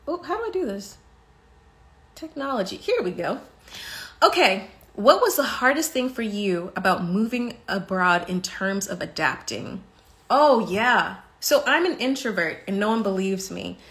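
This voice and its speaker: A woman's voice: fairly high pitch, unhurried. An American woman in her thirties hosting a casual live stream from her living room, talking animatedly into a phone held close. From United States. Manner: animated, expressive, talks with her hands. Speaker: podcast host on a live stream. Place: bright living room indoors.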